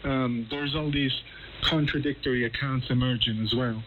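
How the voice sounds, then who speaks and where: A man's voice: mid-range voice, steady tone, normal speed, narrow, tinny audio. Male correspondent, conversational and explanatory with some hesitation; shortwave radio with faint background noise. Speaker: journalist interviewed as correspondent. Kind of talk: explanatory live news interview.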